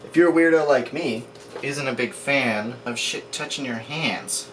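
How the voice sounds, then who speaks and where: A man's voice: medium pitch, a little slow, fairly bright tone, a little hiss or hum. A young man, chatting and joking to viewers while cooking. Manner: jokey, rambling, addresses viewers as kids at home. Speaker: cooking video co-host.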